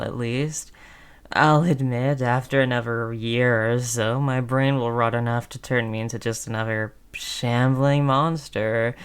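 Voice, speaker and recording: Woman playing a low-voiced, slow-talking chummy zombie. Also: clean tone, heavily acted. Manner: falsely chummy, coaxing, conversational with hesitations. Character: friendly-acting female zombie coaxing prey, sly and chummy. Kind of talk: audio roleplay acting.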